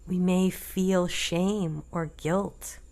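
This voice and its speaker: Slow, low-toned woman guiding meditation. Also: fairly even intonation. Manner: gentle, deliberate, with long pauses between short phrases. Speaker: meditation guide. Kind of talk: guided meditation.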